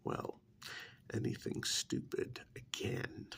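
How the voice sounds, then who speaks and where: A man playing a smug, menacing agent of a vampire in a soft-spoken ASMR roleplay, with a breathy, gravelly half-whisper and deliberate, condescending delivery. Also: slight background noise. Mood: coolly menacing. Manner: slow, deliberate, condescending, with pauses. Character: smug, menacing vampire's male agent interrogating a captured hunter.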